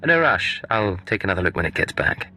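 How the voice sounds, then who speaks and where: An actor playing a cool, deceitful secret agent in a video game, with a curt and threatening manner. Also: male voice, sits low, very animated, fairly quick, bright, forceful tone, faint music behind, exaggerated voice. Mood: smooth, bluffing.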